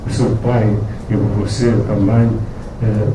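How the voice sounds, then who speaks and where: A man with a Romanian accent, whose first language is Romanian, gives a halting thank-you speech as author at a book launch, often stopping to search for words. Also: fairly low pitch, little pitch movement. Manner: hesitant, searching for words, many restarts. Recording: echoey room, distant mic, noisy.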